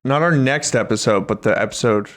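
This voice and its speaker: A man's voice: medium pitch, a little fast, fairly bright tone. Chill guy on a podcast, casual agreeable chat, normal mid voice. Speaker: podcast co-host.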